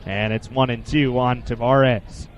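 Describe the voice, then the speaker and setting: Man in his twenties, radio baseball commentary, measured descriptive delivery, medium pitch. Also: fairly even intonation, slight background noise. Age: 20s. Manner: measured, descriptive, fills pauses with statistics. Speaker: play-by-play sportscaster.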